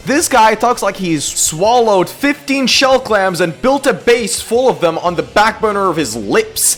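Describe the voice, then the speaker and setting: A YouTube host delivering a comedic scripted rant in a theatrical, exaggerated way, with drawn-out words and outbursts. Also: mid-range voice, a bit bright. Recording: music behind the voice. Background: background music.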